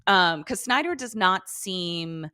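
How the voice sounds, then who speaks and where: A woman's voice: on the low side, lively intonation, slow pace, pushed and bright, clean tone, no room sound, studio quality. A woman co-hosting a casual TV recap chat, talking in slangy, sweary, informal language. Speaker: podcast co-host.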